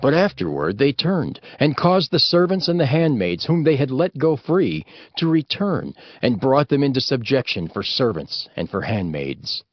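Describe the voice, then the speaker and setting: A man narrating scripture from a script in a solemn, expressive way. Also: medium pitch, moderate pace. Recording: dry, dull audio with music behind.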